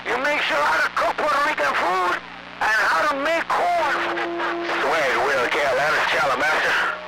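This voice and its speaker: A hoarse man losing his voice, chatting loosely with drivers over a CB radio and repeating himself. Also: very high voice, big pitch swings, moderate pace, loud music behind the voice, poor audio. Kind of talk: casual rambling chat. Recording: loud road noise inside a vehicle.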